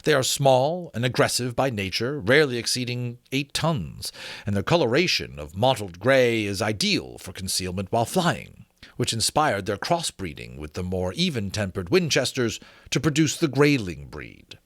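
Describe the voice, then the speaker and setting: A man voicing a pompous, learned gentleman scholar in a dramatic storybook reading, formal and emphatic as he lectures. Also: medium pitch, big pitch swings, normal speed, no room sound, studio quality. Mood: lecturing, emphatic.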